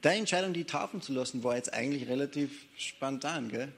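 A man's voice: mid-range voice, animated, a little fast, vocal fry. A man, a pastor leading a baptism service and joking with the people he baptizes. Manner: joking, upbeat between hushed baptism formulas. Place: church baptism.

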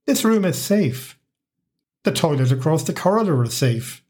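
A stern middle-aged man who rules a fortress, as a storyteller puts him on, speaking in a slow, grave, measured way. His voice sits a touch higher than the narrator's own, lifted for the part. It fits a spoken audio story. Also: very animated, a little mellow. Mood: stern warning.

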